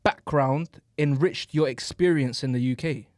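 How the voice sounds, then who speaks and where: A young man hosts a podcast, joking playfully and reading out questions slowly. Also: medium pitch. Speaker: podcast host. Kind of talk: podcast interview.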